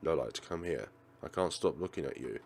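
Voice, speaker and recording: A man playing a menacing, obsessive male teacher in a visual novel, speaking in a hushed, coaxing and threatening way. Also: a bass voice, expressive, normal speed, gravelly, pitched below the performer's own voice, full character voice.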